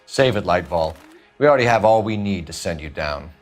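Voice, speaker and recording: An actor playing an earnest, authoritative young American detective and veteran in a video game, speaking in a clipped manner. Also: male voice, low-pitched, expressive, a little fast, faint music behind. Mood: stern.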